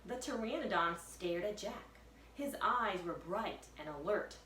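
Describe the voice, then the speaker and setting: A woman, a first-grade teacher, reading aloud to young pupils with expressive, suspenseful storytelling. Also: mid-range voice, a little slow. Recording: echoey room, distant mic, faint noise.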